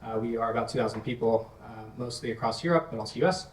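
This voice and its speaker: Fast-talking man, echoey hall. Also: mid-range voice, slight background noise. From Canada and United States. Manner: quick, breezy. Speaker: panelist, talent acquisition lead. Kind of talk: conference panel self-introduction. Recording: echoey hall, distant mic.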